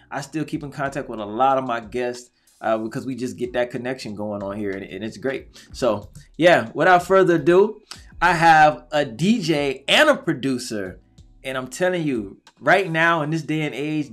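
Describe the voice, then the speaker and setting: A man's voice: mid-range voice, a bit bright. A man hosting a casual talk show interview, chatty and hyped, repeating himself often.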